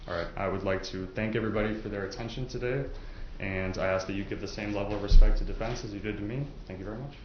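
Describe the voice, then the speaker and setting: A man's voice: fairly low pitch, fairly even intonation, quick pace. A man working as a prosecutor, formally addressing prospective jurors in a courtroom. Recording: echoey, muffled courtroom with some noise.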